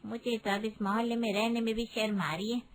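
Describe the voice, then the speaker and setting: A woman's voice: on the high side, steady tone, brisk, lightly acted. A woman voicing a judgmental, middle-aged gossiping aunt in a Hindi moral-story cartoon, complaining and scandalized.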